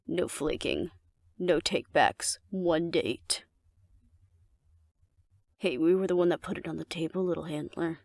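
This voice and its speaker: A woman acts out a female alpha werewolf for an audio roleplay, with a lowish voice full of gravelly fry. She is cocky and flirtatious, teasing the listener with wry confidence. The sound is dry and close. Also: unhurried, long pauses, darkish tone, no echo, heavily acted. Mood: smug, playful bargaining. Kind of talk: intimate audio roleplay acting addressed to the listener.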